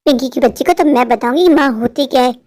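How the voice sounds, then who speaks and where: A woman's voice: high-pitched, quick pace, darkish tone, close to an everyday voice. A woman playing a scheming young stepmother in a melodramatic Hindi cartoon, haughty and commanding beneath false sweetness. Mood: scornful, bossy.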